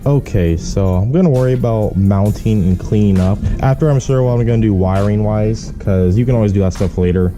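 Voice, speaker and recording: A man's voice: lowish voice, fairly quick, hardly any pauses, music in the background. A man talking in a casual, laid-back, slangy way as a car vlogger explaining a hands-on job. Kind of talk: casual how-to chat.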